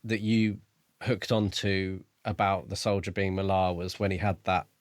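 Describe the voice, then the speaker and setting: A man co-hosting a podcast, casually picking through a book in a measured, analytical way. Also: low voice, flat delivery, no echo, studio quality. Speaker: podcast co-host.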